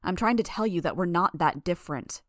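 A woman's voice: on the low side, a little fast, no echo, clean studio sound, lightly acted. A woman voicing a stubborn, earnest teenage girl in an audiobook, insistent and argumentative. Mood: heated, earnest. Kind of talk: dramatised audiobook dialogue.

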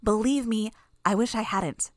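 A woman's voice: fairly high pitch, unhurried, dry recording, clean studio sound, pitched above the performer's own voice, lightly acted. A woman voicing a cocky, gleeful teenage girl villain who talks in a brash, crude way. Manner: brash, crude, pleased with herself.

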